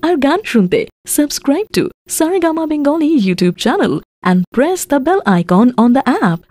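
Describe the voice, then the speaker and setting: A woman's voice: sits high, very animated, slow pace, a little mellow, no echo. A woman reading a scripted promotional announcement.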